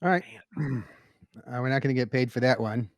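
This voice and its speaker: Male podcast co-host, medium pitch, straight-faced and earnest, halting casual delivery, very dry recording. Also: no echo. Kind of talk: casual scripted-premise banter.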